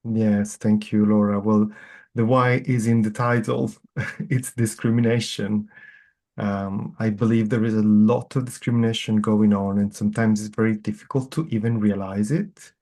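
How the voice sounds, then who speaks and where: Non-native English-speaking man, guest author, mellow lowish voice, measured and earnest. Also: steady tone. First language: not English. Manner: measured, thoughtful, gently earnest. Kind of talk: reflective interview answers.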